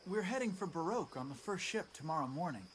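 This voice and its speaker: An actor playing a brooding, earnest teenage boy in a fantasy video game, with a halting, philosophical delivery. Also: fairly high pitch, animated, slight background noise, natural voice. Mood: casual. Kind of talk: video game cutscene voice acting. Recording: game audio with night insects, under commentary.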